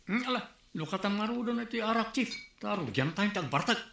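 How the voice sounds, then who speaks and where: A man's voice: high voice, animated, brisk, creaky, a bit bright, echoey room, full character voice. A man playing a cautious village drinker in a comedy radio drama, speaking with an Ilocano accent and a wary, questioning manner.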